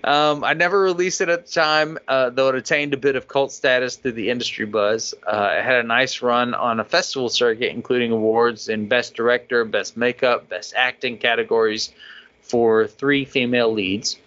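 Bright-toned man reading podcast emails. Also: mid-range voice, fairly even intonation, moderate pace. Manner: laughs often while reading, loose and chatty. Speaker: podcast co-host reading listener feedback. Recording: dull lo-fi podcast audio.

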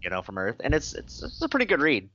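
A man's voice: mid-range voice, huge pitch swings, quick pace, bright, forceful tone, dull audio. A man co-hosting a casual comedy podcast, laughing often and swearing freely. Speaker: podcast co-host.

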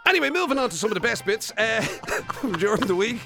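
A man's voice: high voice, sing-song, quick pace, vocal fry, over music. An Irish man co-hosting a radio show, chatting casually with wry banter into a studio mic.

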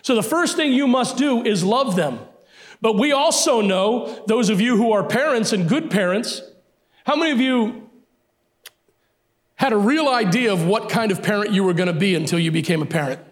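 A middle-aged male pastor preaching a sermon emphatically, repeating his key phrases, his voice sometimes hoarse. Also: high voice, animated, moderate pace.

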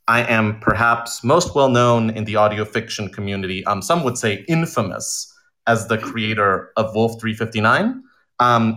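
A man's voice: on the low side, bright, forceful tone. A man chatting informally in a live session, playful and self-deprecating. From Costa Rica and United States. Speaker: audio fiction writer, director and producer. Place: remote live podcast session.

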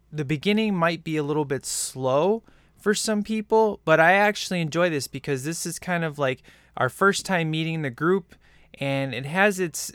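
A man's voice: a little high, fairly bright tone, smooth, dry recording, clean studio sound. A man co-hosting a casual movie-review chat, rambling and enthusiastic with lots of fillers. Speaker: podcast co-host reviewing a film.

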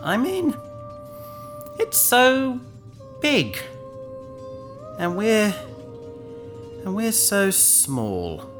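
An actress playing a wry space adventurer who is fatally wounded, weak and drowsy, speaking in halting understatement in a sci-fi audio drama. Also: female voice, mid-range voice, wildly swooping pitch, music in the background, full character voice. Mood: fading, wistful. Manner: faint, halting, dryly understated.

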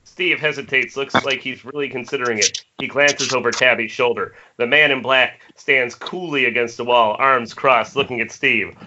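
A man narrates stage directions in a very bright, forceful voice of middling pitch. It is an amateur table read over a dull internet call with faint noise and clicks. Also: steady tone, normal speed, few pauses.

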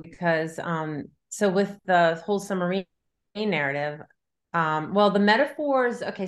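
A middle-aged woman talking casually as an interviewed novelist, thinking aloud in loose, looping sentences. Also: lowish voice. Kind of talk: casual conversational interview about writing.

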